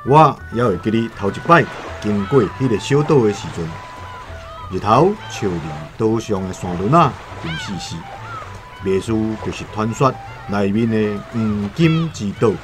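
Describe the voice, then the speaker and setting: An actor playing a reflective seafaring trader in a radio drama, with a Taiwanese accent and measured storytelling. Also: male voice, fairly low pitch, animated, natural voice. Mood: reflective, nostalgic. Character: male seafaring trader, reflective and matter-of-fact. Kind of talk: radio drama monologue and dialogue. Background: faint music.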